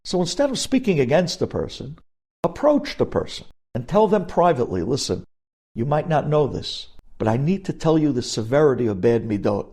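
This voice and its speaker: A man's voice: on the high side, big pitch swings, normal speed, a little mellow, breathy edge. A man speaking as a rabbi giving a lesson on religious law, emphatic, repeating key phrases and posing rhetorical questions.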